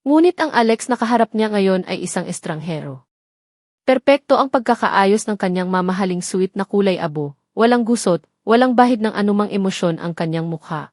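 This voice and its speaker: A woman's voice: medium pitch, lively intonation, fairly quick, a little mellow, dry recording, clean studio sound. A woman narrating a scripted romantic drama story in a solemn, dramatic manner.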